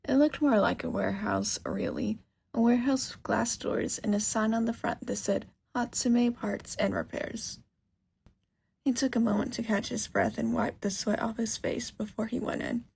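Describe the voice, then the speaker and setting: Female audiobook-style narrator: fairly high voice with plenty of fry and a breathy, husky edge. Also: animated, moderate pace, darkish tone. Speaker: narrator, fan fiction reader. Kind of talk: scripted story narration.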